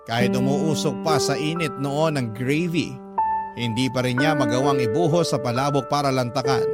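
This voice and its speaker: A man narrating a Filipino radio drama as a scripted, first-person dramatic story. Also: fairly high pitch. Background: prominent background music.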